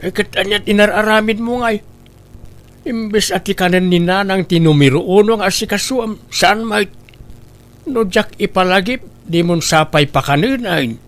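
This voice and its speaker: Man, Ilocano accent, higher voice, scolding, reproachful, audio comedy drama. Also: normal speed, a bit bright. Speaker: drama voice actor, husband. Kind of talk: Ilocano radio-style comedy drama acting.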